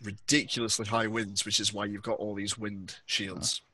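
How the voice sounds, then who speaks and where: A man's voice: lowish voice, flat delivery, normal speed, crowd noise. A man acting as game master, explaining a tabletop game scenario to players in a conversational way.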